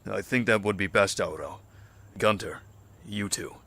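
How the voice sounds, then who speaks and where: An actor as a calm man in command in a zombie drama. His low voice is gravelly and forceful, with measured, polite firmness. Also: no room sound, exaggerated voice. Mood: calm, firm. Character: calm, authoritative, tall male leader of survivors. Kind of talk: scripted audio drama acting.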